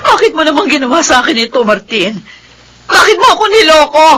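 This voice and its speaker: A woman's voice: sits high, very animated, a little fast, over music. An actress playing a hurt, long-suffering wife and mother in a Filipino radio drama, with emotional delivery. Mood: hurt, reproachful. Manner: emotional, pleading reproach.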